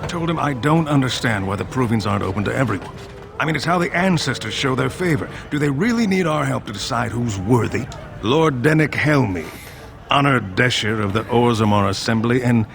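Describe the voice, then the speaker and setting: An actor voicing a jaded, flippant young dwarven lord in a fantasy video game, talking in a weary, conversational way. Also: male voice, medium pitch, vocal fry. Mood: wry, tipsy griping. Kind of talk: video game character dialogue.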